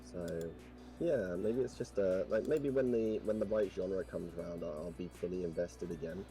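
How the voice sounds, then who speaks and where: A man's voice: deep voice, normal speed, dark tone, faint music behind. A man with a posh English accent chatting casually as a guest, rambling thoughtfully with many fillers. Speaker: podcast guest. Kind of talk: casual chat.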